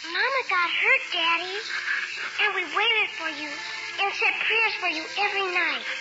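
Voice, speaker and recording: A woman's voice: extremely high pitch, normal speed. A woman playing an earnest little girl who adores her father, in a 1940s radio drama. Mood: joyful, earnest. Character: little orphanage girl, earnest, adoring daughter. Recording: dull 1940s radio recording.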